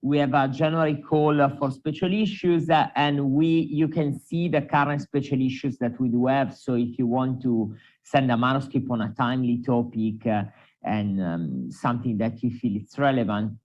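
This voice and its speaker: A man with a strong Italian accent giving tips as a journal editor on a conference panel, pausing often on fillers. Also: mid-range voice, darkish tone, highs cut off. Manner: hesitant, many fillers.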